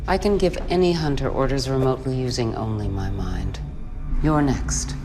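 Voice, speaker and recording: A woman's voice: very deep voice, moderate pace, over music. A woman playing a menacing android villain with cold, simmering anger in a science fiction TV drama. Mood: cold menace.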